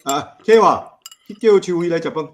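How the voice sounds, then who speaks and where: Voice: medium pitch, moderate pace, natural voice. An actor playing a strict, proud old family elder in a radio drama, blunt and instructive, with a Taiwanese accent. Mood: bossy.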